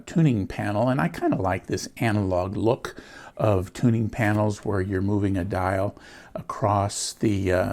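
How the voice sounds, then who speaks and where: Low, gravelly American man reviewing gadgets. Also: a little mellow. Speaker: host of a gadget review channel. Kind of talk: casual product walkthrough.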